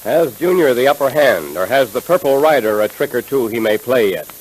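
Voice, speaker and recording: A man announcing in 1930s radio style, making an emphatic, enthusiastic scripted pitch to children. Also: expressive, brisk, breathy edge, poor audio. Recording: hissy 1930s radio recording.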